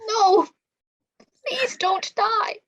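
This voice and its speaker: Woman acting a very high-voiced, distraught young daughter. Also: extremely slow, heavily acted. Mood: grief-stricken. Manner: emotional, imploring delivery. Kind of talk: staged reading of a play.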